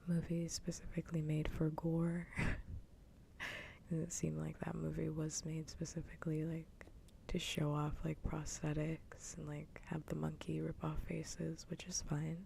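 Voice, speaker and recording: A woman hosting an ASMR livestream, chatting casually in a soft, breathy whisper close to the mic, with unhurried, sweary rambling. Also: low voice, completely flat delivery, slight background noise. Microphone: close ASMR microphone.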